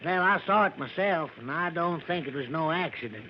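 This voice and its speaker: An actor playing a folksy backwoods American driver who gossips grimly and deferentially, in a drawn-out vintage radio drama style. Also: male voice, on the high side, expressive, natural voice. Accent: rural American. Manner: folksy, drawn-out storytelling with dialect grammar. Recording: muffled, noisy vintage radio broadcast.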